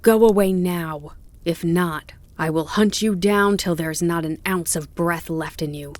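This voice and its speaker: A woman voicing a cross male deer who barks orders loudly. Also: lowish voice, a little slow, natural voice. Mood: cross commanding. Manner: loud, commanding.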